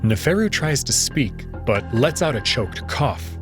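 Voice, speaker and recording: A man's voice: mid-range voice, very animated, a little slow, over music. A man narrating a scripted story in the first person, in a dramatic reading style.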